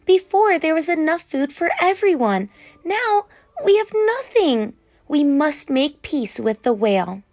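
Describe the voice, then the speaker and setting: A woman's voice: sits high, sing-song, unhurried, a little mellow, no room sound, pitched above the performer's own voice, full character voice. A woman voicing an earnest, pleading male fish who wants to make peace, in a children's storybook. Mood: earnest resolve.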